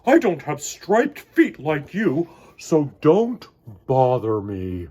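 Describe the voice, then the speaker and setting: A man puts on a cranky storybook walrus lady. She is brusque and scolding, with a husky edge and emphatic swings in pitch. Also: wildly swooping pitch, slow pace, warm tone, heavily acted. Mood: grumpy. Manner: curt, scolding. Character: grumpy, brusque female walrus.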